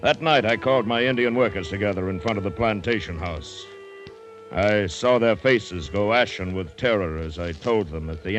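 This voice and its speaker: An actor playing a stubborn, self-assured plantation owner with emphatic delivery, in an old-time radio adventure drama. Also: male voice, sits low, close to an everyday voice. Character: supremely confident, smug, stubborn male plantation owner. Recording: vintage radio recording with music.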